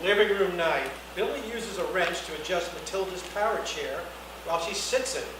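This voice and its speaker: A man's voice: high voice, fairly quick. A man reading screenplay action lines aloud as the narrator at a scene reading. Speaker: narrator of stage directions. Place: indoor reading before an audience, far mic. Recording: very echoey room, distant mic, noisy.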